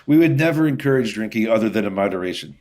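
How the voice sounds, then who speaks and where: Male interview guest, lower-pitched voice, informal explainer, conversational and peppered with filler phrases. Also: expressive, quick pace, a little mellow. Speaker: guest, distillery founder and whiskey maker. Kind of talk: casual interview chat.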